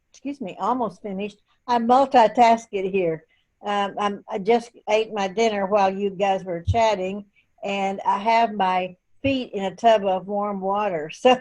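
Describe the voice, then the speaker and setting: An elderly woman in her eighties talks slowly on a video call. She rambles from thought to thought with plenty of pauses. Her pitch sits right in the middle. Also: a little mellow, low-quality recording. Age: 80s. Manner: rambling, meandering talk with frequent pauses. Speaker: call participant. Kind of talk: casual video-call chat.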